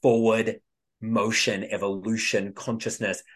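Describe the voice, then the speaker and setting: A man's voice: fairly low pitch, flat delivery, measured pace, slightly rough. A man co-hosting a podcast, talking in a reflective, meandering way and often trailing off mid-thought to restart. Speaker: podcast co-host. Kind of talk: casual spiritual chat.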